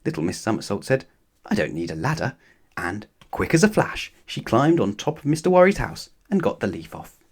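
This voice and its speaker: A man reads a children's story aloud in a mid-pitched voice. His delivery is very animated, rising and falling widely as he addresses young listeners. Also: fairly quick. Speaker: storybook narrator.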